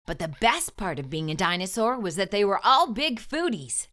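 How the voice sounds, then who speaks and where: A woman reading a storybook aloud to young children, with expressive, emphatic delivery and deliberate phrasing. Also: medium pitch.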